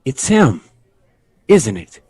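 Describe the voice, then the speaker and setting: An actor playing an impatient, teasing friend at a party in a 1960s audio drama. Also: male voice, mid-range voice, wildly swooping pitch, takes it slowly, warm tone, husky, exaggerated voice.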